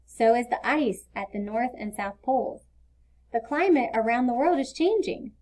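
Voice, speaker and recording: A woman's voice: on the high side, lively intonation, moderate pace, a little mellow, lightly acted. A woman reading the part of a patient, caring mother who explains things in a children's storybook. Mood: serious. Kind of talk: children's storybook read-aloud.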